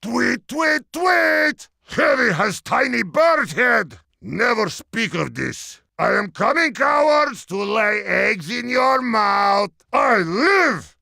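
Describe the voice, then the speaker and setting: Gravelly shouting Russian bird-headed brute. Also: male voice, very high-pitched, sing-song, slow, dry recording, clean studio sound, heavily acted. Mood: manic glee. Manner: blustering, broken English, bellowed taunts. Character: boastful menacing brute with a tiny bird head. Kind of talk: exaggerated video game character acting.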